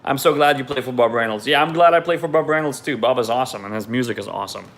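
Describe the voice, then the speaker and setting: A man's voice: mid-range voice, fast, fairly bright tone. A man from Ukraine, raised in Israel, reads viewer questions aloud on a live stream and answers them in a loose, candid way.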